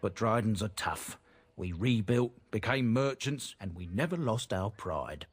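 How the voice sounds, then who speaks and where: An actor playing a chatty, earnest merchant from a proud but disgraced family in a fantasy video game. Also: male voice, fairly low pitch, animated, measured pace. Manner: folksy, chatty, inverted phrasing. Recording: game audio with clear music behind. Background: game music.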